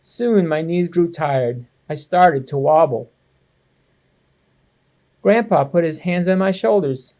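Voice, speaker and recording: A man reading a children's storybook aloud to students with expressive, clear phrasing, like a school educator. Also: fairly high pitch, slow, pauses often. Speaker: assistant principal reading to students.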